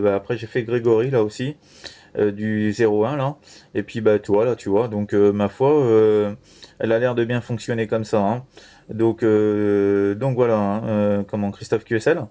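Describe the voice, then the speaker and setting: Low, mellow-voiced Frenchman chatting on radio. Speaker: amateur radio operator. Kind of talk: casual two-way radio chat.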